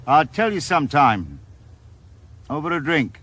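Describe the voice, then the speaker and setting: A man's voice: a little high, sing-song, measured pace, fairly bright tone, exaggerated voice. An actor playing a wry, stubborn retired male detective in a radio drama. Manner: dry, wisecracking. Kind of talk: 1980s radio drama acting.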